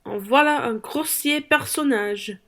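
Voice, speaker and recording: A woman's voice: high-pitched, slow pace, fairly bright tone, heavily acted. A woman playing an indignant, outspoken lady traveller in an amateur radio play, with an exclamatory delivery.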